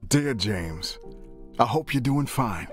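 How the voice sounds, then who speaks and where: Music plays under a proud older brother narrating a letter in a story game. He is a man with a mid-pitched voice, earnest, expressive and full of affection. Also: slow pace. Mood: proud, hopeful. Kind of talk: scripted dramatic letter narration.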